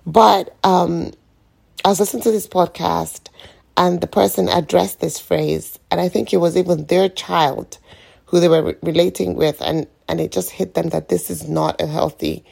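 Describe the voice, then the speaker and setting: Croaky, low-pitched woman. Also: steady tone, normal speed, a little mellow. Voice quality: croaky morning voice. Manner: rambling, reflective. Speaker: podcast host. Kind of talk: informal solo podcast monologue, personal reflection.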